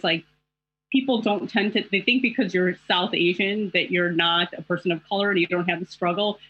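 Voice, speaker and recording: A woman's voice: lowish voice, a bit bright, no echo. A middle-aged woman journalist speaking in a panel discussion, talking in a reflective, candid way with many fillers. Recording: dull lo-fi call audio.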